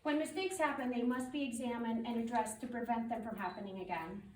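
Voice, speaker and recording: A woman with a fairly high voice reads out a prepared public statement. She is formal, firm and deliberate. The sound is echoey and a little far from the mic, as in a meeting room. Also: a little mellow. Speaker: community spokesperson. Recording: roomy meeting hall, distant mic, faint noise.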